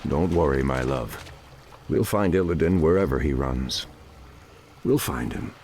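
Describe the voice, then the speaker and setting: An actor playing a solemn, noble night elf druid leader in a fantasy video game, grave, formal and full of authority. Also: male voice, extremely low pitch, expressive, a little slow, darkish tone, slight background noise, exaggerated voice. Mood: reassuring. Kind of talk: dramatic video game cutscene acting.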